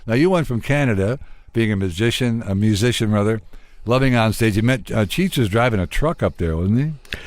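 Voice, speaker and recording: A man's voice: fairly low pitch, expressive, a little fast, darkish tone, no room sound. A man hosting a radio interview in a casual, chatty style.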